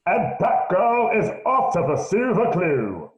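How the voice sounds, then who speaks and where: A man narrating parts of a radio play in a lively, announcer-like way. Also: sits high, slow pace, echoey room, muffled recording.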